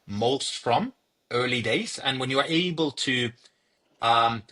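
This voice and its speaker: A young man from Norway answers questions about his startup in a bright, forceful voice. He speaks in a halting way and keeps repeating words and filling pauses. His pitch sits in the middle for a man. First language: Norwegian. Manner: hesitant, many fillers and repeated words. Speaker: startup founder. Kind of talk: interview answers.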